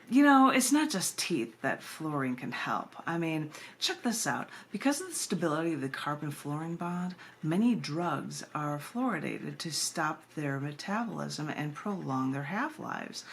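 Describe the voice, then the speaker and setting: Woman, deep low pitch, conversational poetry reading, informal asides, faint background noise, amateur camera sound. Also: big pitch swings, normal speed, gravelly, fairly bright tone. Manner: informal, chatty delivery with asides. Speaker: poet giving a reading. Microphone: compact camera microphone.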